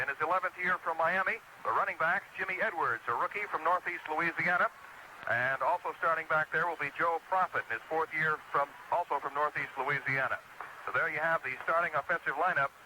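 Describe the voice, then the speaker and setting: An American man calling live football play-by-play as a radio announcer, in crisp descriptive phrases, on a vintage 1970s broadcast. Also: on the high side, noisy background. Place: stadium broadcast.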